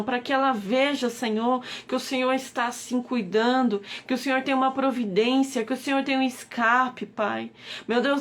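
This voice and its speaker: A woman's voice: sits high, fairly bright tone. A woman with a Brazilian Portuguese accent leading a devotional prayer on a live stream, pleading and repeatedly calling on God. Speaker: prayer leader.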